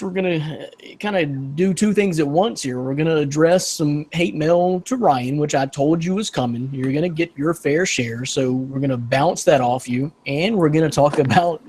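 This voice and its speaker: A man with a husky voice hosting a casual sports podcast in a joking, teasing manner. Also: on the high side, darkish tone, slight background noise. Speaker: podcast host.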